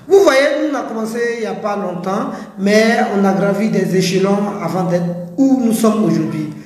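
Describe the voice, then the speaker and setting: A man's voice: sits high, moderate pace, darkish tone, reverberant. A man giving a motivational talk as an entrepreneur and coach, speaking emphatically and repeating phrases for stress. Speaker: livestock-farming entrepreneur and coach.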